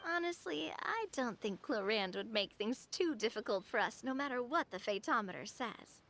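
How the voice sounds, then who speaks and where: A woman's voice: on the high side, animated, moderate pace, a bit bright, slight background noise. A woman playing an upbeat young female player in a video game, breezy, teasing and enthusiastic.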